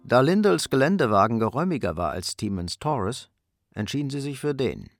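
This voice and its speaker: An actor narrating a hard-boiled crime radio play in a sober, scripted storytelling style. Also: male voice, mid-range voice, very animated, a little fast, faint music behind. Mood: sober, suspenseful.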